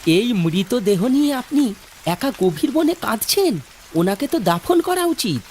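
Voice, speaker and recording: An anxious but kindly young village man, played by a male actor in a horror cartoon. He speaks slowly with animated, exclamatory swings in pitch, over faint rain. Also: slight background noise, exaggerated voice. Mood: concerned. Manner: exclamatory, fretful, talking to himself. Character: anxious kindly young married villager travelling home alone.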